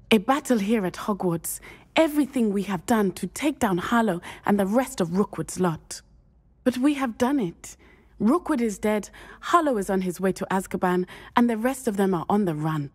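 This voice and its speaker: A woman's voice: mid-range voice, expressive, moderate pace, breathy edge. A woman playing an earnest, brave teenage schoolgirl witch in a video game, with formal, precise phrasing. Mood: warm, grateful. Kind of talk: scripted video game cutscene acting.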